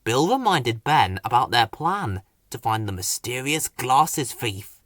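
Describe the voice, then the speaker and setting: Very animated male children's storyteller. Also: medium pitch, takes it slowly, dry recording, studio quality. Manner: dramatic, expressive. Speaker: storybook narrator. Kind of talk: scripted children's story narration.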